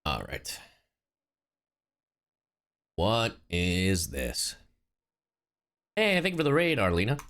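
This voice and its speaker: A man live streaming a game, chatting casually in a slangy, sweary, expressive and excitable way. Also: sits low, takes it slowly, pauses often. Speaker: livestreamer.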